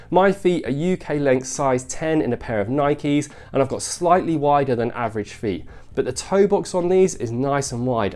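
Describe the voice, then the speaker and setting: Congested man reviewing sneakers, mellow voice. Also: a little high. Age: adult father. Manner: upbeat, repeats "really, really nice". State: congested, bunged-up nose. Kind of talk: product review vlog.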